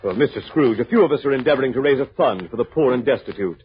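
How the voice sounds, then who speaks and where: An earnest gentleman seeking alms in vintage radio drama. He is formal and courteous, with a very husky voice that rises and falls expressively. The old recording sounds dull. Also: medium pitch, expressive, quick pace. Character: genteel, earnest gentleman collecting for charity. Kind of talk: 1930s radio drama acting.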